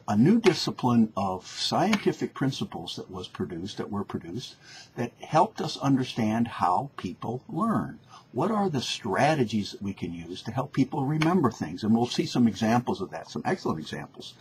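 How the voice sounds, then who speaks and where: A man's voice: medium pitch, poor audio. A man lecturing informally, explaining in a conversational, didactic way and often rephrasing for emphasis. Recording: faint background noise.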